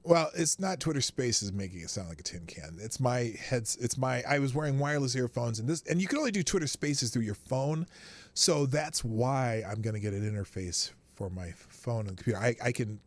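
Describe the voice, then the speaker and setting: A man's voice: mid-range voice, animated, a little fast, no room sound. Rambling joking male live-show host. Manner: rambling, self-mocking, joking asides. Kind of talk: casual commentary. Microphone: studio microphone.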